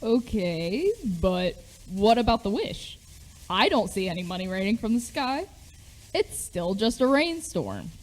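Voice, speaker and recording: A woman's voice: medium pitch, big pitch swings, measured pace, low-quality recording. A woman playing a flippant, joking grown son in an amateur radio play. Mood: teasing, doubtful. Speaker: high school theatre performer.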